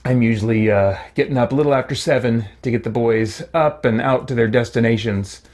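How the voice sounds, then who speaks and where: A man's voice: mid-range voice, a little fast, darkish tone. A man hosting a game livestream, talking casually as he plays and addresses his viewers. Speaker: livestream host playing a fantasy role-playing game.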